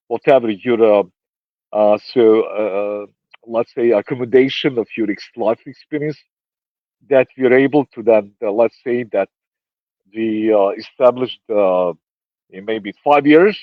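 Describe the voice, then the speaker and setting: A man whose first languages are Serbian and Romanian, answering interview questions in a halting way with many fillers and restarts. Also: medium pitch, dry recording, highs cut off. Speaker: interview guest.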